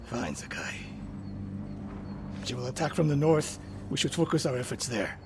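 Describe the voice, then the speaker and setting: A stern, world-weary older samurai master voiced by a male actor for a video game. His gruff voice sits at a middling pitch. He speaks in terse, grave phrases with firm authority, over faint music. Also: big pitch swings, moderate pace, close to an everyday voice. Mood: grim, brooding. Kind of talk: dramatic video game acting.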